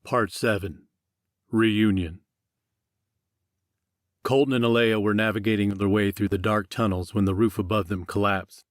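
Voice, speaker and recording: A male audiobook narrator reads scripted fiction in a fairly low voice. The recording is dry, with hardly any room sound. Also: steady tone, unhurried, long pauses, no room sound.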